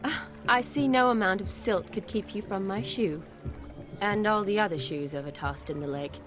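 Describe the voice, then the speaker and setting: A woman's voice: mid-range voice, normal speed, music in the background, close to an everyday voice. A woman playing a spirited young witch in a video game cutscene, with a dry, teasing manner. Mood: wry, teasing. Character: wry, spirited young witch, amused by her suitor.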